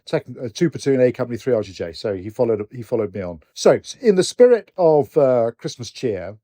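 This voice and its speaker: A man's voice: medium pitch, sing-song, a little fast, mellow, dry recording. An older man whose first language is Norwegian, co-hosting a podcast in casual chat, hesitant with many restarts. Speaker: co-host, retired general.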